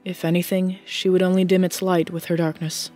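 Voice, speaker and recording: A woman's voice: on the low side, level tone, a little fast, dark tone, soft background music. A woman reading scripted fiction as the narrator of an audiobook.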